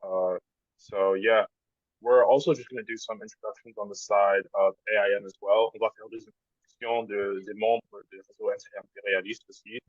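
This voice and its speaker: Low-voiced man moderating a call. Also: steady tone, normal speed, dry recording. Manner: hesitant, full of fillers, switching between French and English. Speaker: meeting moderator. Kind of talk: chairing a video meeting. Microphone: video call. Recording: dull, dry video-call audio.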